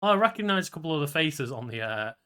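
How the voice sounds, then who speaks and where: A man with a bright, forward voice chats casually as a podcast co-host. His delivery is loose and conversational, like banter between friends. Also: a little high, sing-song, quick pace, clear, resonant tone.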